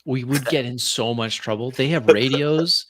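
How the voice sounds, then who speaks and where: A man's voice: mid-range voice, normal speed, a loud crowd. A man chatting casually about a game just played, in an agreeable manner that backs up the others. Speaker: tabletop roleplay player. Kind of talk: casual post-game chat.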